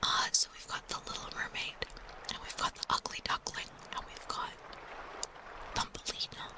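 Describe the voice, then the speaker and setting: A woman in her thirties hosting a whispered ASMR livestream, chatting playfully with her viewers and greeting them by name. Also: moderate pace. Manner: playful, chatty, reading out chat names. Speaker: livestream host.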